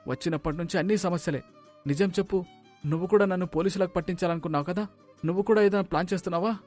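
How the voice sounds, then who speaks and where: A gangster in hiding, acted by a man, high-voiced and quick, suspicious of everyone. He talks with urgent menace, as in an animated moral tale with music underneath. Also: close to an everyday voice. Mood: suspicious, anxious. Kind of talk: dramatic moral-story voice acting.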